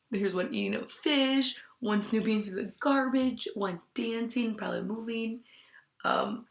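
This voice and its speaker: A woman teacher reading a picture book aloud to children, expressively, with hushed asides. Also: mid-range voice, gravelly. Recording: roomy, echoing sound.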